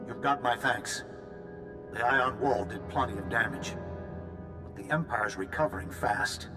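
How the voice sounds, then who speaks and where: A man's voice: mid-range voice, measured pace, over music, lightly acted. A man playing a resolute general in a video game, giving an authoritative, businesslike military briefing. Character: resolute male general briefing troops for war.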